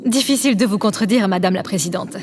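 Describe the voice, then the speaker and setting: A woman's voice: mid-range voice, fast, music in the background, close to an everyday voice. A woman playing a modest, dutiful starship engineer in an audio drama, polite and reserved. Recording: clearly noisy background.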